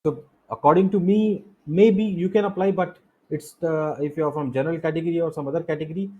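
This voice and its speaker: A man's voice: a little high, fairly even intonation, fast, mellow, slightly rough, muffled recording. A man with an Indian accent explaining slides as a coaching instructor, repeating key points and asking rhetorical questions. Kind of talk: explanatory lecture over slides.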